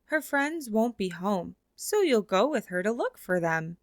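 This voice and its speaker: A woman reading a children's picture book aloud in an expressive way, slowing down for the closing lines. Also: medium pitch, no echo, studio quality. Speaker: storybook reader. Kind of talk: children's read-aloud narration.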